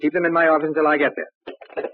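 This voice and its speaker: Actor as a brusque old-radio police inspector, tinny sound. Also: male voice, a little high, flat delivery, quick pace, natural voice. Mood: commanding. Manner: clipped, commanding, needling. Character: shrewd, brusque male homicide police inspector. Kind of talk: old-time radio crime acting. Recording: muffled, band-limited old radio recording.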